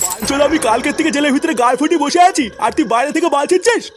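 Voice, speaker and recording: A man's voice: extremely high pitch, rapid-fire, soft background music. A man voicing a foul-mouthed, boastful, hot-tempered thug in a comic cartoon dub, belligerent and threatening. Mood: angry, demanding.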